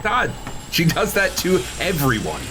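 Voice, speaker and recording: Brief: wisecracking builder, higher voice, lively. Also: male voice, a little slow, a little hiss or hum, exaggerated voice. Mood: teasing. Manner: teasing, sarcastic. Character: wisecracking construction worker, married twice. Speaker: construction worker. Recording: music under dialogue.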